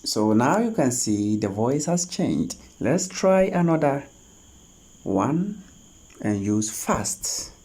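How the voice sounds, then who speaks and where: The voice of a tutorial host, slow and mellow with a husky edge. The delivery is instructional and a little halting, moving step by step through a screen demonstration at a medium pitch. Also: very animated. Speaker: host of a tech tutorial channel.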